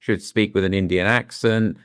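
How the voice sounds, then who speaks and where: A deep-voiced, middle-aged British man talks things through with candour, pausing and restarting to find his words. His voice is smooth and resonant, and the recording is close and dry. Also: steady tone, no echo. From London, England. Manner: thoughtful, with frequent restarts and "you know"s. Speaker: guest. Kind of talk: candid reflective conversation.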